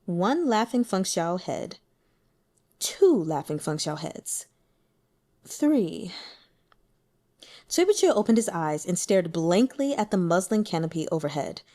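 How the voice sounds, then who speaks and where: A woman talking casually through her notes on a book, in a chatty, giggly and animated manner. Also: lowish voice, slow, pauses often. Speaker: audiobook reader and book commentator.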